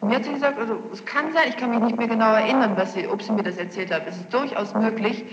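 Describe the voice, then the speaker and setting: A woman's voice: fairly high pitch, quick pace. A young woman testifying as a witness in court, answering in a defensive, insistent way. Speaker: witness being questioned in court. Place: large echoing courtroom. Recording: noisy muffled archival courtroom tape, echoing hall.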